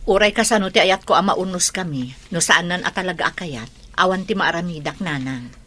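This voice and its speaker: A woman's voice: lowish voice, fairly quick, sharp tone. A woman playing a strict, stubborn working mother in a radio drama, curt and insistent. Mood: resigned.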